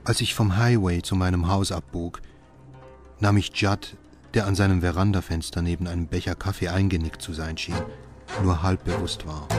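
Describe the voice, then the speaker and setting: An actor playing a haunted, exhausted father who narrates his own horror tale in a radio play, brooding and measured. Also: deep voice, lightly acted. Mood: grim, subdued. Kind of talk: scripted first-person radio play narration.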